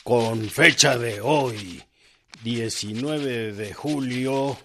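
Very slow, gravelly army general. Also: male voice, medium pitch, a bit bright, heavily acted. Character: self-satisfied male federal army general dictating a formal dispatch. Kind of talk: radio drama acting, formal telegram dictation. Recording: faint noise and clicks.

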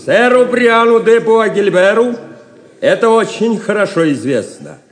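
Echoey high-voiced defiant pilgrim. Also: male voice, animated, a little slow. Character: mysterious hooded pilgrim, bold and defiant knight in disguise. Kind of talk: Russian audio drama acting.

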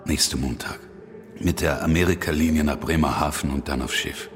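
A self-assured American man in a radio play. His voice is extremely deep, and he speaks in a wry, easygoing manner. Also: fairly quick, a little hiss or hum.

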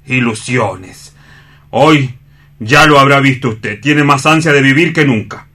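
An actor playing an earnest, disappointed assistant in a radio drama, deferential and comically solemn. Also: male voice, medium pitch, measured pace, pushed and bright. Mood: disillusioned. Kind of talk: dramatic staged radio reading.

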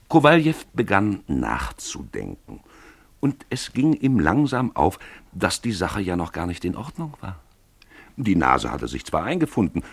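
A man with a husky voice narrating a literary radio play from a script, as a storyteller. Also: deep voice, dry recording.

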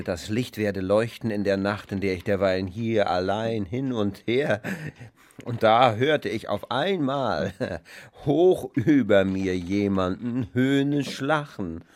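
Radio drama lead: bitter, tormented cripple railing at heaven, slow and declamatory. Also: male voice, fairly low pitch, a little mellow. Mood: brooding, sorrowful. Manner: declamatory, brooding, theatrical. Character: bitter, anguished man on crutches, railing against God. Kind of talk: expressionist German radio drama.

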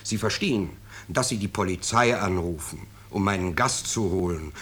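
A self-justifying, anxious bourgeois man of middle age in a 1950s radio play. His voice is low and husky, and he talks in a blustering, defensive, insistent way. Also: normal speed, fairly bright tone. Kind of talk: 1950s radio drama acting.

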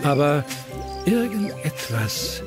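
Male narrator, children's story, unhurried pace, slightly high pitch, playful, expressive. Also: music in the background.

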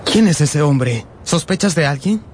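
A man's voice: on the high side, animated, unhurried, slight background noise. An actor in a radio drama playing an earnest, sensitive young man who narrates his own life with feeling. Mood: concerned.

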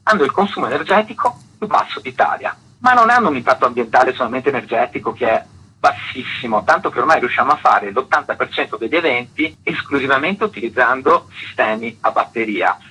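A man gives a detailed, articulate explanation as a radio interview guest. His voice is strikingly raspy, with lively rises and falls in pitch and a bright, forceful edge. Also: brisk, poor audio. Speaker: festival artistic director, interview guest.

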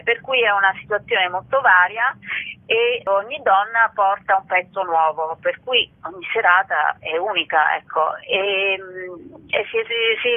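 A woman explaining things somewhat hesitantly as a guest in an informal radio phone interview. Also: medium pitch. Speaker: actress interviewed about a comedy show. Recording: thin, muffled phone line.

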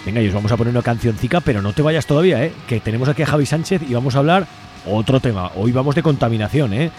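A man's voice: mid-range voice, lively intonation, rapid-fire, music in the background. A man hosting a radio show in an informal, conversational way, often adding tag questions. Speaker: radio host. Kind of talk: conversational radio interviewing and presenting.

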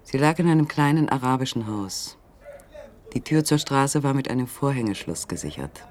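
An actor narrating a literary German radio play as a sober, measured third-person storyteller. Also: male voice, medium pitch, expressive, darkish tone, slight background noise, lightly acted.

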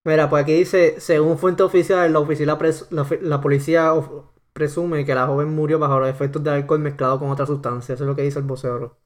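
A young man from Puerto Rico with a Puerto Rican accent, chatting casually on a podcast and filling pauses with "este". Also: a little high, little pitch movement. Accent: Puerto Rican Spanish. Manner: rambling, heavy on fillers. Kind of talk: casual chat.

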